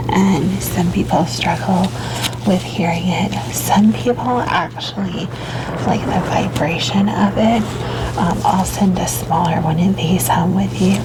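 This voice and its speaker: A woman doing an ASMR doctor roleplay in a soft, breathy whisper, gentle, soothing and reassuring. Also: mid-range voice, background noise. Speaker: ASMR creator playing a doctor. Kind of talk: ASMR personal-attention roleplay.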